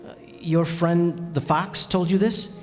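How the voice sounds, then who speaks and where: A man playing an earnest, measured stranded pilot in a radio play. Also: high-pitched, fairly even intonation. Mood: concerned, weary. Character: stranded pilot, concerned, gentle adult narrator. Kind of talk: radio play acting and narration. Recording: echoey hall, music behind.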